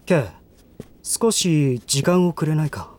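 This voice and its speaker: A male actor playing a refined, sheltered young gentleman in a voice drama, speaking formally and earnestly. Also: on the high side, expressive, slow pace, slight background noise. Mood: earnest, measured. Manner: formal, stiff, earnest speech. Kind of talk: Japanese voice drama acting.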